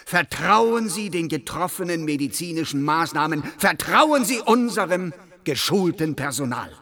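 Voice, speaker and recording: Zealous, formal male official, higher-voiced. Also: expressive, normal speed, pushed and bright. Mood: rousing, solemn. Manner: formal, precise, declamatory. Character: zealous, irritable male research official in dystopian science fiction. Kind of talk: German radio play acting.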